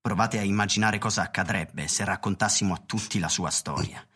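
An actor playing a grave, burdened world president in a radio drama, speaking with a low, husky voice in a measured, solemn way. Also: male voice, fairly bright tone.

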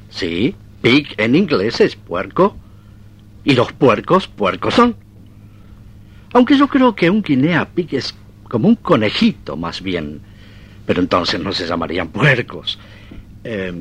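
A folksy boss man, husky, pitch all over the place, musing out loud, old radio play. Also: exaggerated voice. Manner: hesitant, thinking aloud. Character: musing, folksy male company president. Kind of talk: 1970s-80s comic radio drama acting.

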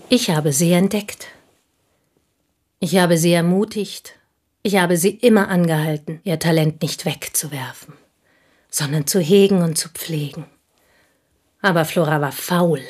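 Husky, bitter village spinster. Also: female voice, lowish voice, a little slow, pauses often, a little mellow. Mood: proud, insistent. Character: bitter, proud, unmarried village woman, fiercely possessive of her friend. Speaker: radio drama actress. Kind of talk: German radio play acting.

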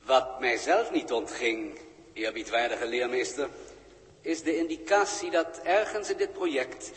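An actor in a 1960s radio drama playing a composed, deferential alien official, with formal, measured and stately diction. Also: male voice, medium pitch, fairly bright tone, natural voice. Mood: solemn, revealing. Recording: reverberant 1960s radio studio recording.

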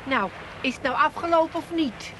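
An actress playing a bossy, dryly sarcastic housewife with a husky voice in a comic radio drama. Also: female voice, high-pitched, expressive, moderate pace, noisy background. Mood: scolding.

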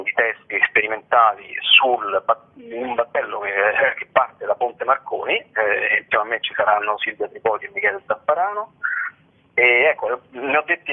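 A man giving an informal interview as a guest, speaking haltingly, searching for words and repeating himself. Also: mid-range voice, slightly rough. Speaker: interview guest, festival participant and writer. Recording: narrow, muffled telephone line.

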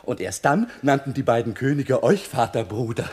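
Actor as boastful young shepherd, lively intonation. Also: male voice, medium pitch, moderate pace, lightly acted. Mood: boastful, proud. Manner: naive, self-important. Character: boastful, naive young shepherd newly made gentleman. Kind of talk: comic German radio play acting.

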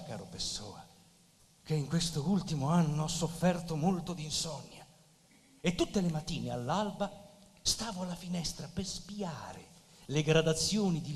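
High-voiced, dreamy, melancholy clerk. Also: male voice. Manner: earnest, lyrical, savouring words in measured phrases. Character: gentle, dreamy, melancholy clerk who paints with words. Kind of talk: literary stage monologue and dialogue. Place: theatre stage or hall. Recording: reverberant theatre hall.

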